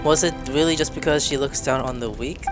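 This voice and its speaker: A woman's voice: rumbling low, brisk. A woman hosting a game playthrough, reading dialogue aloud and joking along with plenty of swearing. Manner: joking, foul-mouthed, animated. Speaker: gameplay video host. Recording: game music behind.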